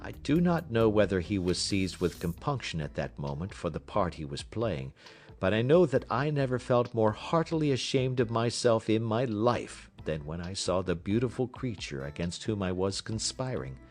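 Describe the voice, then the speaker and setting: A man reads scripted literary fiction as an audiobook narrator. His voice is fairly low and very animated in pitch, with measured, expressive storytelling. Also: faint music behind.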